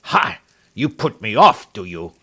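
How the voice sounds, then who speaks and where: A man's voice: mid-range voice, animated, takes it slowly. A man voicing a fierce, furious old man who blusters and snarls threats. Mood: contemptuous.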